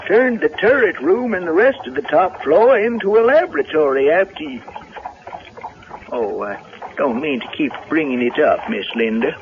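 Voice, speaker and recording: An actor playing a folksy, nervous manservant with halting rustic speech in a 1970s radio drama. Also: male voice, fairly high pitch, expressive. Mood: hesitant, deferential. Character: folksy, nervous male servant and carriage driver. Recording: muffled, noisy vintage radio recording.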